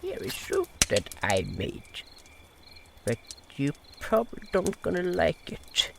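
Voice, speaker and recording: Man doing a croaky, grumpy old amphibian. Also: sits high, wildly swooping pitch, full character voice. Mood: bitter, sulky. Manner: halting broken grammar, sulky. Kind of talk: audio drama dialogue. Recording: noisy track with cricket ambience.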